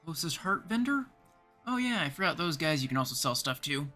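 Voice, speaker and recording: Bright-voiced Canadian man streaming a game. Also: fairly high pitch, very animated, fairly quick, faint music behind. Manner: thinking aloud, chatting to viewers. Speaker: game livestream host. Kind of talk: casual livestream chat while playing.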